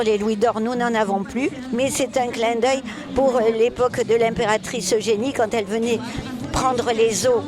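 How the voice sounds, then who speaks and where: A man explaining the history of a traditional contest in an interview, with frequent hesitations. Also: very high-pitched. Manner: hesitant, many fillers. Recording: outdoor crowd noise. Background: crowd.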